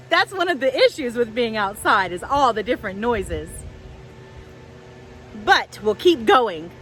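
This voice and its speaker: A woman tells a story for small children in simple, expressive phrases. Her voice is fairly high and very lively, delivered without hurry. She is outdoors in a park. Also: slow pace, pauses often, soft background music, poor audio. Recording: outdoors with faint wind noise.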